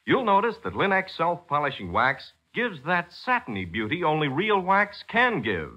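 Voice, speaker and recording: A man working as a 1940s radio announcer, reading a script with emphatic, salesmanlike delivery and a husky voice. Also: on the high side, wildly swooping pitch, moderate pace. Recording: dull, lo-fi 1940s radio recording.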